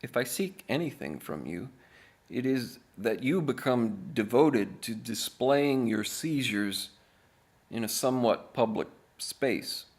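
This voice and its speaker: A man reading aloud as an author, in a deadpan, measured manner with deliberate pauses. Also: medium pitch. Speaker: author reading own book.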